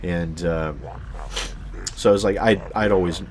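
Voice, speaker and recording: Deep-voiced man telling a rambling story. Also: steady tone, unhurried, background noise. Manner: heavy casual swearing, exaggerated emphasis. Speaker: YouTube storyteller host. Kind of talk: casual rambling personal storytelling.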